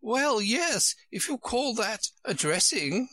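A helpless, fretful queen from a storybook, acted out by a man reading aloud. The voice is pitched far up and swoops about with a melancholy, put-upon air. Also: very animated, takes it slowly, bright, forceful tone, dry recording, heavily acted.

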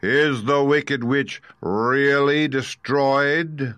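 A man's voice: medium pitch, fairly even intonation, very slow, fairly bright tone, no echo, pitched above the performer's own voice. A man voicing a grand, pompous invisible wizard speaking from a throne in a storybook. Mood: wary.

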